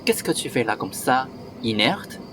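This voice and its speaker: A man's voice: mid-range voice, very animated, a little slow, a bit bright, slight background noise. A man playing a harsh, bullying head of household who scolds and gives orders in a student radio play. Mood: scolding, stern. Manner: scolding, domineering.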